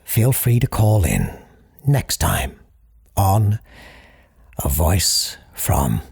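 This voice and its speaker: A man playing a solemn parapsychologist who hosts a late-night call-in show in an audio drama, speaking formally and deliberately in a husky, gravelly voice. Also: sits low, big pitch swings, darkish tone, full character voice. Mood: calm, formal. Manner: formal, deliberate, halting pauses. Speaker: radio call-in host. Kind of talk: scripted audio drama, deliberate radio monologue.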